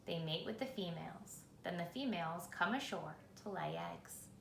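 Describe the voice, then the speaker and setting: A woman reading a children's picture book aloud in a measured, expressive storytelling manner, with pauses for effect. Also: lowish voice, creaky. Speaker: reader. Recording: roomy echo, faint background noise.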